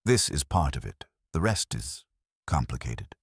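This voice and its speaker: A man's voice: a bass voice, big pitch swings, a little slow, gravelly, slightly rough, no room sound, clean studio sound, exaggerated voice. A man voicing an earnest culinary chemist in his late thirties, formal and stiff, in an audio mystery. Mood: guarded, serious. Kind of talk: detective audio drama.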